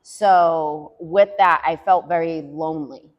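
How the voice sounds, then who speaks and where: A woman co-hosting a podcast, chatting candidly and animatedly with frequent laughter and quick bursts when she vents. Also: sits low, long reverb tail, highs cut off. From Puerto Rican heritage. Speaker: podcast co-host. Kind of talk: candid casual chat.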